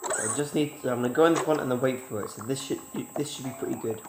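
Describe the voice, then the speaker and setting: A man with a cold, sniffling, chatting casually to his viewers while he plays, and shouting when things go wrong. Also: medium pitch, fast talker, noisy background. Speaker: gaming YouTuber. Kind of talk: casual gameplay commentary. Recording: roomy with loud game music.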